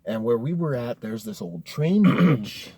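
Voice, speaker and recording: A man's voice: medium pitch, lively intonation, measured pace, gravelly, mellow, breathy edge, no echo. A young American man telling a personal horror story casually, swearing freely and using slangy talk like dude and bro. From Colorado, United States. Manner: profane, slangy, addressing listeners as dude and bro. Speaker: storyteller, host of a story-time series.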